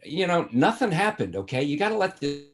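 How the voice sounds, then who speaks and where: A man's voice: fairly high pitch, very animated, a little fast. A man playing a breezy, shameless womanizing father of a grown son in a sitcom table read. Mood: defensive. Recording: video call table read.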